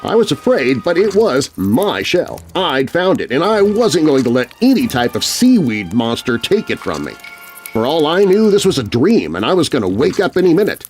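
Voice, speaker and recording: A man narrating a scary children's story in an expressive, suspenseful, scripted style. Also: fairly high pitch, normal speed, faint music behind. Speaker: narrator, voice artist.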